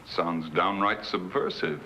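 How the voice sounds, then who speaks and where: A man acting in a 1950s science fiction film as a forceful, sceptical officer of a space crew. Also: sits low, fairly even intonation, takes it slowly, exaggerated voice. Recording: dull old film soundtrack, faint music.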